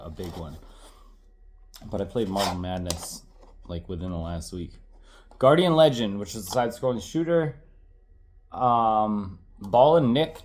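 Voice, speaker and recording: A man's voice: fairly low pitch, a little mellow. A man in his thirties, congested and tired, chatting casually and rambling as he shows off his old game collection, correcting himself and swearing now and then.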